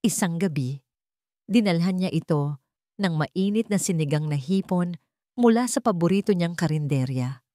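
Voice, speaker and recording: Female narrator, Filipino accent, lower register, scripted drama storytelling, close dry sound. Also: lively intonation, normal speed, long pauses, mellow, dry recording.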